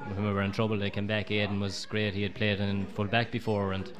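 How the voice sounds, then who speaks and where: A man's voice: on the low side, level tone, a crowd in the background. A middle-aged Irish man, a former goalkeeper, talking easily with plenty of "you know" in a pub. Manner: quick, easygoing, lots of "you know". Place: busy pub, indoors.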